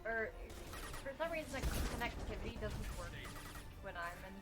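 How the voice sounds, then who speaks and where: A woman's voice: medium pitch, lively intonation, normal speed, loud music behind the voice. A woman playing a game on stream and chatting, often reacting with startled exclamations. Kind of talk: casual gaming chat while playing.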